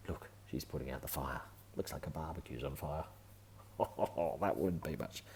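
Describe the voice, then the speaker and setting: A man reading a children's picture book aloud, with gentle, often whispered asides. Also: rumbling low, moderate pace, a little hiss or hum.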